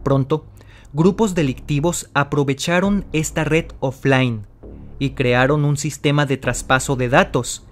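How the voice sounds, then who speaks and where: Dramatic mystery-channel narrator, man. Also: on the high side. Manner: dramatic, addresses the viewer directly. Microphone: voice-over.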